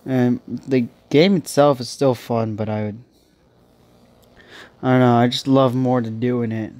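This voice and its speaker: A man's voice: mid-range voice, steady tone, a little slow, warm tone. Young man with a stuffy nose rambling over gameplay. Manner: rambling, thinking aloud with many restarts. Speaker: gaming channel host. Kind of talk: casual gameplay commentary.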